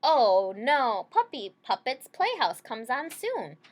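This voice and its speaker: A woman acts out a TV-obsessed little girl from a storybook. She is dramatic and demanding, and her urgent exclamations jump up high and loud. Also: very animated, slow pace, full character voice. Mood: anxious. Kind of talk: storybook character voice in a read-aloud.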